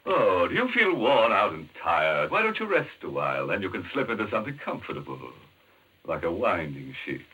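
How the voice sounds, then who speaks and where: Deep, theatrical, mock-ghoulish radio host. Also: male voice, big pitch swings, fairly quick, sharp tone, breathy edge. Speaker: horror anthology host. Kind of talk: macabre, jokey 1940s radio hosting. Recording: muffled vintage radio, faint music behind.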